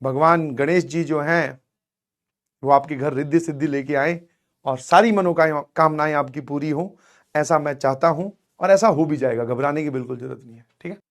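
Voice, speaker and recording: A man with a high, hoarse, strained voice speaks as a coaching teacher to his students. He mixes phrases his talk. He coaxes them along, often says please and keeps checking that they follow. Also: normal speed. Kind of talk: motivational advice to students in an online class.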